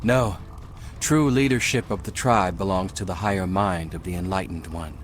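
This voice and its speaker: A man plays a devout male cult leader in a video game, who speaks of the astral plane with calm reverence. His voice sits very low and stays soft and formal throughout. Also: normal speed, slight background noise, natural voice. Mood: serene.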